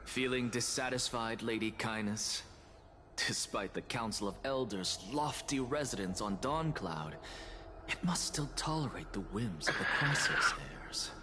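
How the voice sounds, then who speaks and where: A man voicing a haughty heretic scholar in a video game, speaking in an eloquent, measured, condescending way. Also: mid-range voice, lively intonation, noisy background, close to an everyday voice. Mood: sardonic. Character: haughty male heretic scholar and academy leader.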